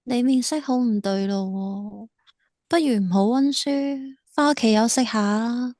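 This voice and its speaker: A woman's voice: a little high, measured pace, darkish tone, dry recording, clean studio sound, close to an everyday voice. An actress playing a tender, devoted teenage schoolgirl in an audio drama, with a Hong Kong Cantonese accent. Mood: caring, casual.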